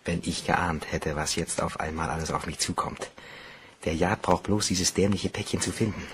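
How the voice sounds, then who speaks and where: An actor playing an anxious, irritable bank cashier with a guilty secret in a German crime radio play, nervy and brooding. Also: male voice, deep voice, steady tone, normal speed, a little hiss or hum, natural voice. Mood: worried, bitter.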